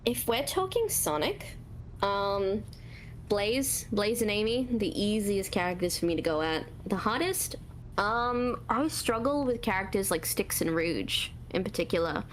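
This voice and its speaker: A woman with a naturally high voice, answering interview questions about her voice acting and art in a relaxed, hesitant way, with many pauses and fillers. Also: slight background noise, poor audio. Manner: relaxed, hesitant, many ums, laughs at herself. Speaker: voice actor and artist, interview guest. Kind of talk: casual Q&A interview.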